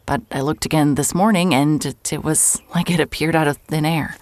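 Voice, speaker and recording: A woman's voice: low-pitched, fairly even intonation, moderate pace, a little mellow, close to an everyday voice. A woman playing a wary, wry private investigator from the city, in audio drama dialogue and first-person narration. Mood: unsettled.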